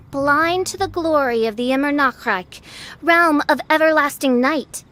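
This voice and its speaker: A woman's voice: high-pitched, a little slow, slight background noise. A woman voicing a grandiose young princess of eternal night who speaks in theatrical, archaic words. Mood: disdainful. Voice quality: theatrical, archaic, pompous speech. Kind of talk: video game voice acting. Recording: game cutscene with soft music.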